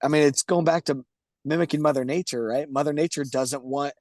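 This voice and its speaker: A man hosting a webinar talks with eager enthusiasm, full of restarts and fillers. His voice is a touch higher than usual for a man. The sound is dry and close, with no room around it. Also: fairly even intonation, fairly quick, darkish tone, dry recording. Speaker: webinar host. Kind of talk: casual webinar discussion.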